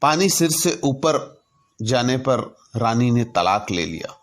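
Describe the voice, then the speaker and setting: Male narrator for anecdotes: medium pitch, unhurried and deliberate, phrase by phrase. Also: sing-song. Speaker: narrator telling his own anecdotes. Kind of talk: storytelling narration.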